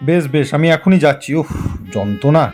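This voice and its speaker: A man's voice: on the high side, lively intonation, fairly quick, darkish tone, faint music behind, natural voice. A man playing a hapless, henpecked village husband in a comic cartoon, rambling and repeating himself in bewildered complaint. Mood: bossy, impatient.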